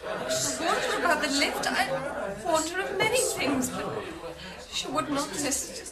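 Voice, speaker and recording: An actress playing a severe, stricken bereaved sister in a radio drama, speaking in a halting, dramatic way. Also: female voice, sits high, big pitch swings, roomy sound, over a noisy crowd. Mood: shaken, bitter.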